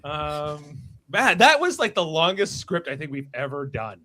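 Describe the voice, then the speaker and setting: A man hosting a casual cast wrap-up after a podcast read, talking in a halting, rambling way. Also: on the high side, very animated, sharp tone. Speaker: podcast host and cast member.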